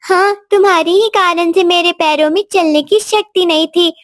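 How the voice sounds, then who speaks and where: A woman as a high-voiced, tender-hearted little princess. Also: steady tone, normal speed, no echo, clean studio sound. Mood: grateful. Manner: earnest, childlike, formal wording. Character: sweet, tender-hearted young princess girl. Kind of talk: cartoon moral-story acting.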